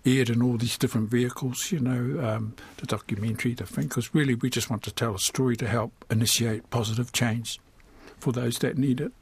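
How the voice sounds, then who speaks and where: A man's voice: medium pitch, steady tone, a little fast, few pauses, slightly rough. An older man with a New Zealand accent, speaking candidly and colloquially as an interview guest, reflecting on his own life. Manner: candid, colloquial, often adds "you know" and "eh". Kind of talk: reflective personal interview talk.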